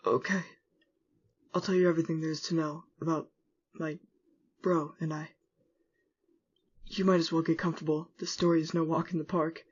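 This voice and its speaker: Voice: medium pitch, darkish tone, breathy edge. An actor voicing a laid-back male skeleton, a wry and reflective older brother telling his story in a comic dub. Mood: wry, reluctant. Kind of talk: scripted first-person storytelling in a comic dub. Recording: muffled audio with music underneath.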